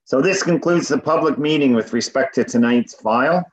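A man's voice: medium pitch, moderate pace. Man chairing a council video call. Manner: procedural, repeats "going once, going twice". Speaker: deputy mayor chairing a council meeting. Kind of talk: formal meeting chairing. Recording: muffled video call audio.

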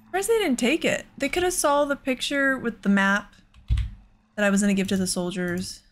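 A woman's voice: fairly high pitch, normal speed. A woman giving casual let's play commentary, thinking aloud in an exasperated way. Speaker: gaming host.

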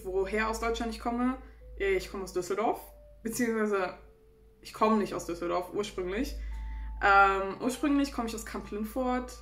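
A woman's voice: medium pitch, fairly bright tone, reverberant. A woman in her twenties from Germany with a German accent, chatting casually to camera as a vlogger, self-deprecating and laughing often. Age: 20s. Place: small bedroom indoors.

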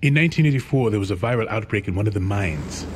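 A man's voice: fairly low pitch, animated, fast, a little hiss or hum, close to an everyday voice. A man playing a grave, dignified scientist giving testimony in a video game cutscene.

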